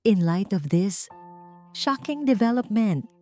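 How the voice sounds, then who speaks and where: A woman's voice: medium pitch, lively intonation, slow pace, a little mellow, faint music behind. A woman voicing a stern courtroom judge in an audiobook drama, formal and declamatory. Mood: flustered formal. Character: stern, flustered male courtroom judge issuing rulings.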